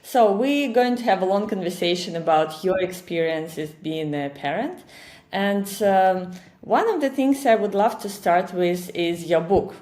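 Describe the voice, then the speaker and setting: A woman's voice: mid-range voice, unhurried, a little mellow, roomy sound. A woman hosting an interview, welcoming her guest and asking encouraging questions. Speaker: interview host.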